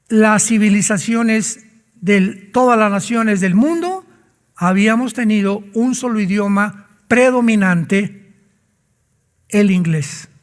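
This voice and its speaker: A man's voice: high-pitched, in a large hall. A man preaching a sermon as a pastor, emphatic and full of rhetorical questions.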